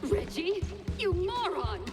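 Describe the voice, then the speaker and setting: An actress playing a bossy, irate cartoon villainess with a shrill, scolding manner. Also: female voice, very animated, slow pace, over loud music, exaggerated voice.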